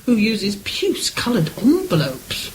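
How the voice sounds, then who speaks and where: A woman's voice: medium pitch, wildly swooping pitch, a little slow. A woman playing a cheery, down-to-earth English big sister in a period radio play, breezy and teasing. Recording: faint background noise.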